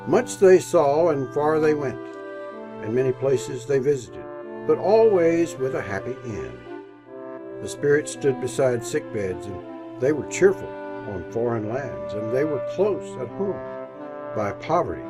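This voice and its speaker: A man narrating a scripted story in old-time radio style, with measured, theatrical phrasing. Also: mid-range voice. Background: instrumental music.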